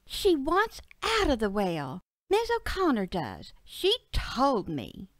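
A woman's voice: sits high, wildly swooping pitch, takes it slowly, no echo, pitched above the performer's own voice, heavily acted. A woman voicing an earnest, imaginative little country girl who rambles breathlessly and pleads with her father in an audio story. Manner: childlike, breathless rambling with "like" and "see".

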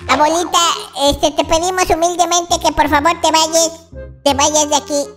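Very high-pitched whiny baby. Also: level tone, moderate pace. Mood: pleading. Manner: exclamatory, babyish, chatty. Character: whiny baby noob, small child in diapers. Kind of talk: improvised Minecraft roleplay. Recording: music bed under the voice.

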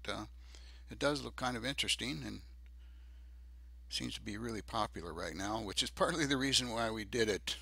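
An older man narrating a DIY project video off the cuff, halting and hesitant, with many ums. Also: on the low side, creaky, pushed and bright, breathy edge, dry recording. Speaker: DIY presenter.

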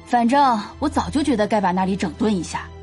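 A woman's voice: mid-range voice, lively intonation, a little fast, darkish tone, soft background music, close to an everyday voice. An actress playing a chatty, fretful female snack-shop owner of about fifty in an audio drama, grumbling as she talks. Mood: worried, gossipy.

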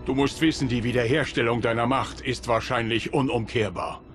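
An actor playing a solemn, loyal guardian of time in video game dialogue, formal, deliberate and grave. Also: male voice, medium pitch, close to an everyday voice. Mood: solemn, measured. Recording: music behind the voice.

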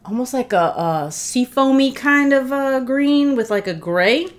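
A woman's voice: high voice, animated, a little slow. A middle-aged woman chatting casually while unboxing a gift, a bit congested from a cold, with lots of fillers and heartfelt thanks, recorded seated in her living room. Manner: chatty, warm thanks, many fillers and restarts. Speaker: vlogger.

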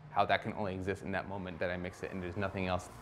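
A man's voice: low voice, monotone, very fast, a bit bright, slight background noise. A man in his thirties from Costa Rica, an artist talking reflectively in a documentary interview, with many fillers and restarts.

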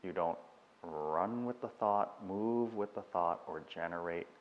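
Slow, very deep-voiced man guiding meditation. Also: slight background noise. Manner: unhurried, measured instruction with long pauses. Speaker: meditation guide. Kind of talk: guided meditation. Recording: dull sound with long reverb.

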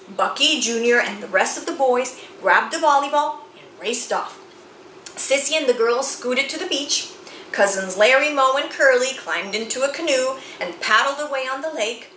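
Animated woman reading a children's story. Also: high-pitched. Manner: theatrical, deliberate, emphatic phrasing. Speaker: picture book reader. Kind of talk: expressive children's read-aloud storytelling. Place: small indoor room with bookshelves and chalkboard. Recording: roomy sound with faint background noise.

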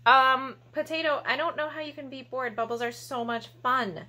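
A woman's voice: high voice, fairly even intonation, moderate pace, pushed and bright. A woman in her thirties presenting a children's storytime in a playful, exaggerated, encouraging manner, recorded in a small room at home. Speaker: library storytime host.

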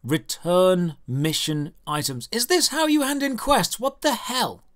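A man's voice: high voice, a little slow, fairly bright tone. A man narrating a scripted comedic video game review in a sarcastic, exasperated manner. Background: video game music.

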